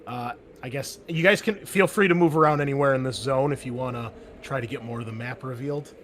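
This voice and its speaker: A man's voice: mid-range voice, fast, a little hiss or hum. Chatty man running a tabletop game. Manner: loose and chatty, full of "like" and "um" fillers. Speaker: game master.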